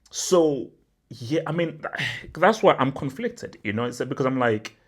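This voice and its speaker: A young man from Nigeria who hosts a football channel, giving casual, emphatic commentary, often saying "bro" and stacking up exaggerations. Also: mid-range voice. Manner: animated and emphatic, repeats "bro", spirals into exaggeration.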